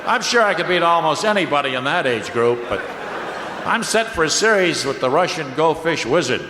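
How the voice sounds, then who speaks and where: An actor playing a naive, self-important contestant, plain-spoken and earnest, in an old-time radio comedy. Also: male voice, on the high side, animated, normal speed, a crowd in the background, close to an everyday voice. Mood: earnest, boastful. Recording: echoey, noisy old radio recording.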